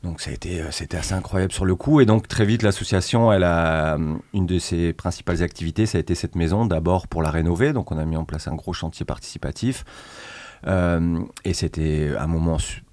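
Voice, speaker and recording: Deep-voiced hesitant man from northern France. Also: a little fast, darkish tone, dry recording. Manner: hesitant, many fillers and restarts. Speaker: association founder. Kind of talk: casual radio interview.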